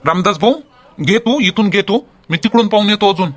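A man acts out a folksy village man from a homemade comedy cartoon. His voice is pitched high for a man. He talks in chatty, colloquial banter with a Varhadi Marathi accent. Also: a little fast, fairly bright tone.

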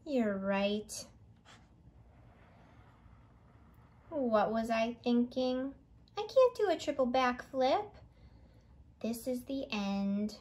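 A woman's voice: a little high, lively intonation, takes it slowly, a little mellow. A woman voicing a chipper, dramatic, easily alarmed talking hot dog in a childlike, exclamatory way. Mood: dejected.

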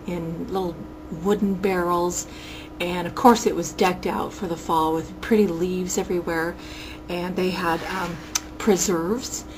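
A woman in her forties chats into her webcam with gushing enthusiasm, her voice sitting at a middling pitch. The sound is a close built-in webcam mic in a small room at home, with a faint hiss underneath. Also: poor audio. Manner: gushing and enthusiastic, exclaims over things. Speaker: vlogger reviewing local outings. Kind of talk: casual chatty review to webcam. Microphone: built-in webcam mic at close range.

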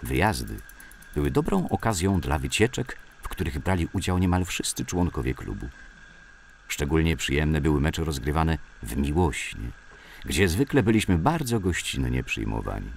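A man reading scripted memoirs as a narrator, in a measured storytelling manner. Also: very deep voice, big pitch swings, gravelly, a little hiss or hum.

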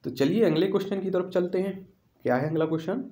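A man's voice: high voice, fast talker, mellow. A man teaching exam questions in an instructional way, repeating questions and answers for emphasis, with an echoey room sound. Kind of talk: instructional quiz walkthrough.

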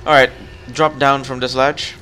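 A man talking his partner through a game in a relaxed, instructive way, his voice at an ordinary pitch. A faint hiss of noise sits under the recording. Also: moderate pace. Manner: instructive, coaching. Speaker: gamer guiding a co-op partner. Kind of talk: casual gameplay chat.

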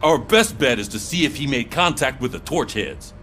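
An actor playing a firm middle-aged police sergeant in a game, briefing with authority. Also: male voice, medium pitch, expressive, moderate pace, a bit bright, a little hiss or hum, lightly acted. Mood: businesslike, firm.

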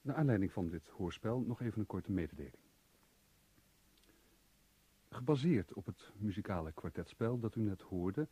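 Deep, slow male radio announcer. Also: dark tone, breathy edge, no echo. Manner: measured, formal. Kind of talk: formal scripted radio announcement.

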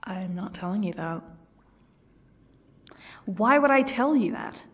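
A woman playing a defiant, sardonic captive in an audio roleplay, speaking haltingly and often sinking toward a whisper. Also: mid-range voice, expressive. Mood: uneasy, halting. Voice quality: husky, breathy, creaky. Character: defiant, sardonic captive woman facing her ex's torture. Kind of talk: audio roleplay, one-sided dialogue.

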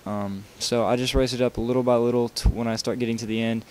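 A man's voice: medium pitch, little pitch movement, fast talker, darkish tone, slight background noise, low-quality recording. A young man talking casually over his gameplay while showing how to build a track, rambling with lots of fillers and restarts. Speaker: video game tutorial maker.

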